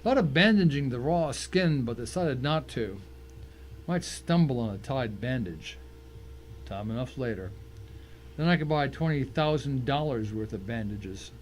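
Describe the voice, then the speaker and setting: A man's voice: mid-range voice, lively intonation, a little slow, darkish tone. A man reading a scripted first-person thriller story as its narrator, in a dramatic, suspenseful way.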